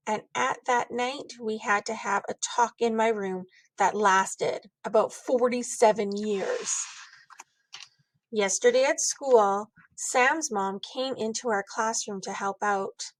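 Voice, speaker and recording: A woman narrates a children's storybook expressively. Her voice sits fairly high and she takes her time, recorded dry with little room echo. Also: slow pace. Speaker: storybook reader.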